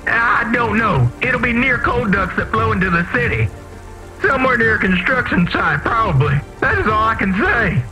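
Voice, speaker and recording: An actor playing an earnest, masked refugee from underground vents in a video game, pleading in dramatic, formal wording. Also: male voice, high voice, lively intonation, normal speed, over loud music, poor audio. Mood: harried, grave.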